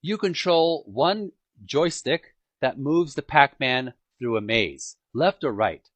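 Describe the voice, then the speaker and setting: A man reading a book aloud as an amateur narrator, with emphatic, dramatic phrasing and frequent pauses. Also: medium pitch, lively intonation, a bit bright, no room sound. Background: music.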